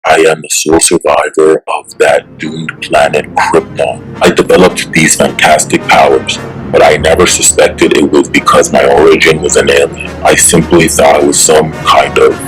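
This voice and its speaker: Man acting earnest superhero, very deep bass voice, anguished motion comic drama, clear music underneath. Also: level tone, moderate pace, poor audio. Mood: brooding, awed. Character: earnest young superhero man, anguished, searching for his origins. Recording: loud music behind the voice.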